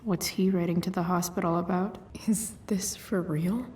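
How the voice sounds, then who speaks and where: A woman playing a wry, sweary, guarded but caring teenage girl in a video game, muttering sardonically in breathy asides. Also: fairly low pitch, steady tone, normal speed, a little mellow, a little hiss or hum, close to an everyday voice. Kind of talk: naturalistic video game voice acting.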